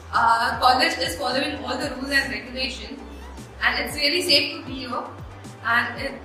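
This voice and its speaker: A young woman student from Mumbai speaking hesitantly, with fillers. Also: sits high. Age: young adult. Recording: distant, echoey room with music.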